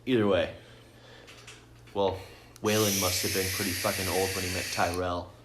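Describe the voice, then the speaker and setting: A man's voice: low-pitched, little pitch movement, normal speed. A man co-hosting a podcast, chatting casually about films in an argumentative, sweary way. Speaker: podcast host. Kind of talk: casual film-fan chat. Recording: echoey room with clear background noise.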